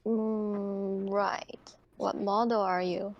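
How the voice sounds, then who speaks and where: A woman's voice: mid-range voice, level tone, very slow, lo-fi sound. A woman playing a tabletop roleplaying game, speaking casually in character.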